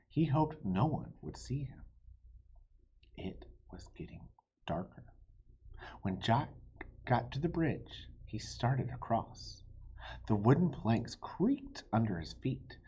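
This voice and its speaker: A man reading a children's book aloud as an expressive narrator. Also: medium pitch, takes it slowly, slightly rough, lo-fi sound. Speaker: storybook reader.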